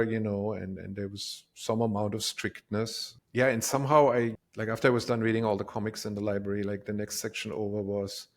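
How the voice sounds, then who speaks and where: A middle-aged man with a German accent speaks in a low voice. He talks casually and reflectively as a guest, circling back over his words in a rambling, self-deprecating way. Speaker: interview guest, tech company co-founder. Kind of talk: casual reflective interview talk.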